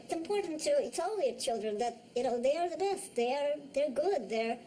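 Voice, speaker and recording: A woman in her forties from Russia with a Russian accent, speaking in a documentary interview in a measured way with pauses and restarts. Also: high-pitched, expressive, warm tone, slight background noise. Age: 40s.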